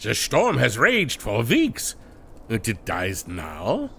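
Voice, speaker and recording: Man acting a gravelly, dramatic adventurer. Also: medium pitch, huge pitch swings, takes it slowly, fairly bright tone, a little hiss or hum, heavily acted. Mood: suspicious. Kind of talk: adventure serial radio acting.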